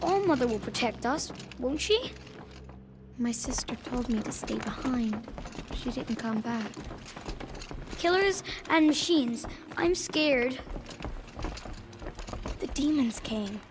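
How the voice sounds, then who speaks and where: A woman's voice: fairly high pitch, animated, slow, pauses often, noisy background. A woman voicing a frightened young child of a tribe in a video game. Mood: scared, grieving.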